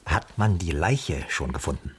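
An actor playing a boastful, flippant small-town private detective with self-important, wry patter in a radio crime play. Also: male voice, rumbling low, lively intonation, a little slow, a bit bright, breathy edge, heavily acted. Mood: businesslike. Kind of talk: 1980s German radio crime play acting.

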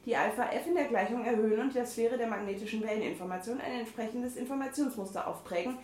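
Woman, somewhat high voice, regional German dialect, reads text aloud haltingly, roomy echo with faint hiss. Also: darkish tone. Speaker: podcast co-host reading from a book. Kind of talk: casual chat, reading aloud. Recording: echoey room with faint noise.

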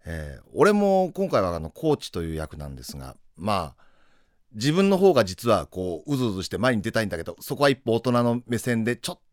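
Male voice actor, fairly low pitch, dry studio-close sound, earnest off-the-cuff comment. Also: very animated, fairly quick, no echo. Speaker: voice actor giving cast comment. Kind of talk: unscripted cast comment.

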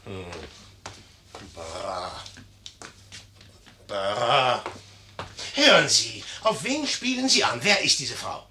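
An actor playing a young, proud, hot-tempered general in a theatrical 1950s German radio play, sardonic and imperious in manner. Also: male voice, mid-range voice, sing-song, very slow, long pauses, bright, forceful tone, slight background noise, heavily acted. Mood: irritated.

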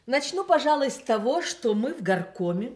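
A woman's voice: high voice, sing-song, normal speed, darkish tone. An actress playing a female party committee secretary in a Soviet radio play, formal and precise in official phrasing. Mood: indignant, reporting.

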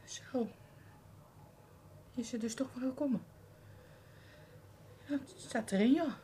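Radio play mother, female: middle-aged, high voice, curt, suspicious and worn down, on a noisy recording. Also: takes it slowly, dark tone. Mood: bitter, resigned. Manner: curt, colloquial, grumbling. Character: weary, suspicious, hard-bitten mother of a criminal. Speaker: actress in a crime radio drama. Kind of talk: Dutch radio play acting.

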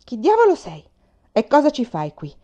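Lady doing a big grumpy older storybook man, booming and bullying, way louder, slightly dull recording. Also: a little fast, lightly acted. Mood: angry, impatient. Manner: blustering, interrogating, exclamatory. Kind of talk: audiobook character voice.

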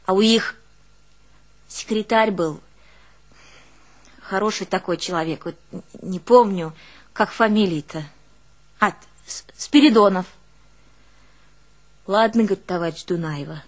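An actress playing a proud, aggrieved peasant wife with a rural northern Russian accent, in an earthy, colloquial, emotional theatrical monologue. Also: female voice, mid-range voice, close to an everyday voice. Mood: wistful, confiding. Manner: colloquial, emotional, swinging from scolding to hushed confiding. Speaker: stage actress in a one-woman audio play. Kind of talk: theatrical monologue with snatches of village folk ditties.

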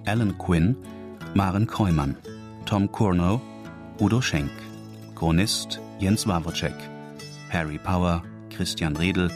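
A man's voice: deep voice, takes it slowly, long pauses. A man announcing the title and cast of a radio drama in a scripted read. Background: plucked guitar music.

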